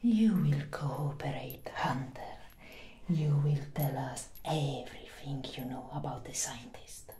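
A woman playing a cold, commanding elder vampire interrogator in a soft-spoken ASMR roleplay, breathy and whispery, slow and threatening. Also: a bass voice, echoey room, a little hiss or hum. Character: non-human vampire.

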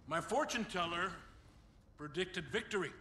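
Casting: volatile camp warlord, bright cutting voice, animated, impatient, video game drama. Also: male voice, sits high, moderate pace, slight background noise. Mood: angry. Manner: commanding, impatient, sharp. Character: volatile, bullying male warlord of a war camp.

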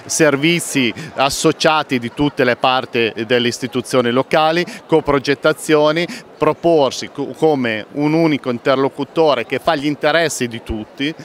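A man's voice: mid-range voice, normal speed, slight background noise. A man giving an answer as an interviewee. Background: faint ambient hubbub.

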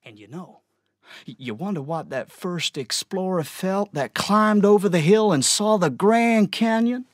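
A man's voice: high-pitched, lively intonation, measured pace, dry recording, studio quality. Man acting a wry, bawdy raconteur. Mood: awestruck, wry. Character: bawdy, awestruck male raconteur. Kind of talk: comic stage monologue.

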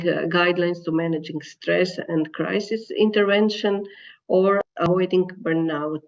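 Croatian woman presenting on video, halting delivery with an accent, kinda muffled lo-fi sound. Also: on the low side. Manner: halting, hesitant. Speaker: library association representative. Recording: dull lo-fi video call playback.

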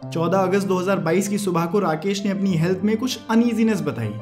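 A man's voice: sits high, a little fast, darkish tone. A man reading a scripted biographical narration as a narrator. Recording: prominent background music. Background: instrumental music.

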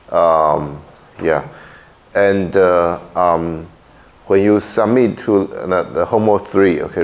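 A middle-aged man with a Taiwanese accent, whose first language is Mandarin, lecturing a university class through a handheld microphone in a lecture hall. His voice is slightly hoarse after illness, and he talks haltingly, with many fillers and "okay?" checks. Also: low-pitched, a little mellow. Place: large lecture hall with projector.

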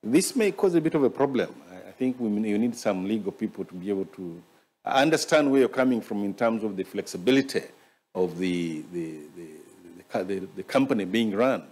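A man, moderating a panel with halting, deliberate comments and questions. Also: fairly low pitch, expressive, a little mellow, slightly rough. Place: conference stage, indoors. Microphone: gooseneck table microphone.

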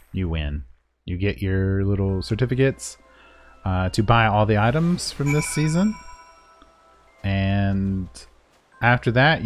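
A man giving a casual, unscripted game walkthrough in a playful, self-deprecating way. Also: medium pitch. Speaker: gaming video creator and guide narrator.

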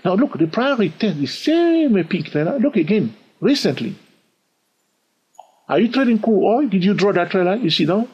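A man with a husky voice walks through price charts in a screen-recorded trading lesson. His pitch swings widely as he asks rhetorical questions and chuckles. The recording sounds dull and lo-fi. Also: very animated, moderate pace. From lives in London. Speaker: trading educator. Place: at a computer, voice over screen.

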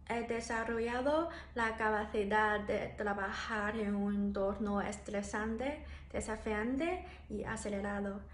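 A young woman from China, a native Chinese speaker, reading a formal application statement in careful, measured Spanish to a camera in a small room. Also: on the high side, echoey room, slight background noise. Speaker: master's applicant. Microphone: phone or camera in front, head and shoulders framing.

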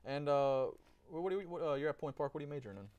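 A man's voice: on the high side, lively intonation, very fast, a little mellow, muffled recording. A man hosting a sports show, asking rambling interview questions with many restarts.

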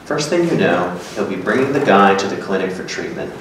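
A man in his thirties reading the part of a dry, blunt, sceptical companion in a staged script reading, deadpan and terse. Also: sits low, brisk. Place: small hard-walled gallery room, indoors. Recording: very echoey room, distant, faint noise.

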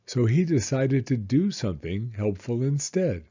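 A man reading a children's story aloud in an expressive, deliberate storytelling manner. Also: medium pitch, breathy edge, muffled recording. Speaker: storybook reader.

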